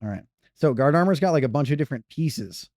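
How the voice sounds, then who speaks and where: A man's voice: on the high side, lively intonation, fast, a little mellow, no room sound, clean studio sound. A man giving casual gameplay commentary as a speedrun streamer, rambling and correcting himself. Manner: rambling, self-correcting banter.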